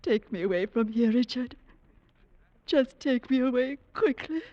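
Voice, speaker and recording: An actress playing a brooding, anguished woman in a 1940s radio drama, with intense, deliberate delivery. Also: a little high, lively intonation. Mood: pleading. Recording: dull, dry old radio recording.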